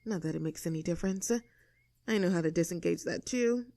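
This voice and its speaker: A woman's voice: on the low side, fairly quick, creaky, mellow, no echo. A woman playing a cocky, rebellious young mean girl in an audio roleplay, snarky, bossy and self-assured as she talks to the listener. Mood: confident, instructing.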